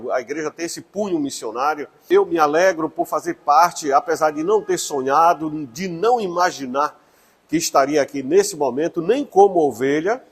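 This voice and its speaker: A man who is a church pastor, giving an earnest personal testimony and pausing now and then with fillers. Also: fairly high pitch, breathy edge.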